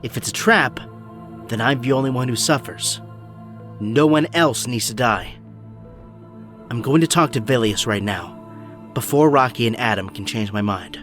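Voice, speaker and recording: A man's voice: mid-range voice, normal speed, long pauses, fairly bright tone, soft background music, close to an everyday voice. A man acting a haunted, stubborn young superhero in an audio drama, earnest and emphatic. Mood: haunted, resolute.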